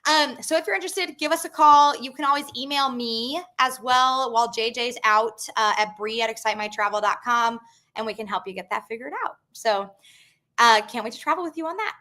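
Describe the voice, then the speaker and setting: A woman's voice: a little high, fairly quick, bright, forceful tone. A woman hosting a live stream about a group tour, talking in a chatty, upbeat way with plenty of ums and replies to viewer comments. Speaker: travel company host.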